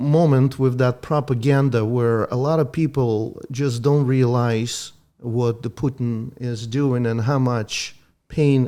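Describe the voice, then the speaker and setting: A man from Ukraine whose first language is Russian, a doctor guest explaining things earnestly in slightly halting English. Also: mid-range voice, darkish tone. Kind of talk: interview conversation.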